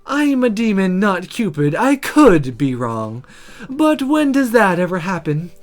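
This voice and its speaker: A woman puts on a sardonic demon companion from a visual novel. The voice is smug, lazy and playful, leaning hard on words and swinging widely in pitch, over light music. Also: big pitch swings, unhurried.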